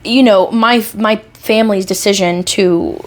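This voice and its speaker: A woman's voice: mid-range voice, a little slow. A woman hosting a podcast in casual chat, agreeable and rambling. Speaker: podcast host.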